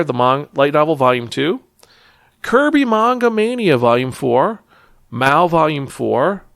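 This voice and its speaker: A man with a fairly high, noticeably creaky voice hosts a casual review show. He is enthusiastic and emphatic, often repeating words for stress as he talks straight to his listeners. The sound is dry, with no room echo. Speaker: podcast host and manga reviewer.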